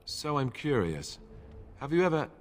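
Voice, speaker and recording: An actor playing a wry young warrior recruit in a fantasy video game, with a glib, sarcastic way of talking. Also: male voice, medium pitch, sing-song, measured pace, a little hiss or hum. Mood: friendly, curious.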